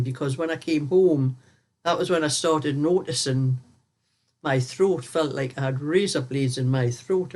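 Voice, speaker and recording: An older woman, croaky from a cold, chatting casually while knitting as host of her own vlog, rambling through stories and laughing often. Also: low voice, a little mellow.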